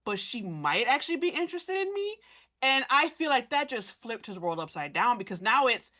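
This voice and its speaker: Bright, forceful woman explaining a story. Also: on the high side, sing-song, fairly quick. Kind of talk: casual fan discussion of a fiction story, acting out characters' thoughts.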